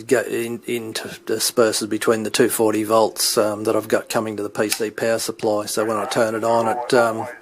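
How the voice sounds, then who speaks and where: A middle-aged man giving an unscripted hobby demonstration, hesitant and halting as he searches for words. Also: mid-range voice. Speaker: CB radio hobbyist demonstrating his equipment. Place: indoors at home beside radio equipment. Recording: clearly noisy home recording with radio static.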